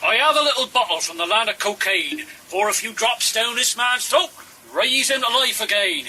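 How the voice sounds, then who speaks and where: A man's voice: very high-pitched, animated, normal speed, sharp tone, breathy edge, exaggerated voice. A man playing a boastful country quack doctor in a radio play. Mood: pompous boasting. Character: boastful rustic mummers' quack doctor and soldier. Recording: very noisy radio drama recording.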